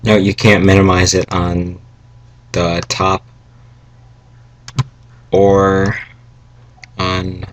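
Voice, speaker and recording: A young man a little raspy from salty food, giving a rambling, halting coding tutorial from home at his computer, with fillers and asides. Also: deep voice, completely flat delivery, a bit bright. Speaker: tutorial maker. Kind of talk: casual screen-recorded coding tutorial. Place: home room, family noise around. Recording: faint background noise, keyboard and mouse clicks.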